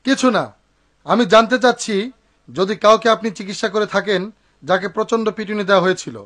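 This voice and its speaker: An eager, scheming cartoon henchman. His voice is high and he talks fast. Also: fairly bright tone. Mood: probing, coaxing. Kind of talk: cartoon dub acting.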